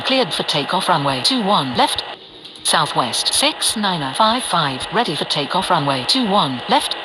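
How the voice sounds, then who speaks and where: A woman speaking as an air traffic controller in clipped, formulaic radio phraseology. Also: fairly low pitch. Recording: very noisy, loud engine-like background.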